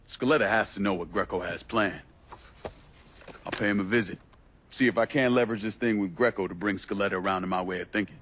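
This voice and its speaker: Man playing a low, fast, hard-boiled criminal avenger. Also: fairly bright tone, slight background noise, natural voice. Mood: blunt, calculating. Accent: American. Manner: terse, blunt, streetwise. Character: hard-boiled male crime avenger, blunt and calculating. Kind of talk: video game cutscene dialogue.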